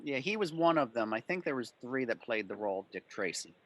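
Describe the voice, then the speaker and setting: A man's voice: mid-range voice, expressive, a little fast, a bit bright, lo-fi sound, a little hiss or hum. A man answering club members' questions informally on a video call, explaining things in detail.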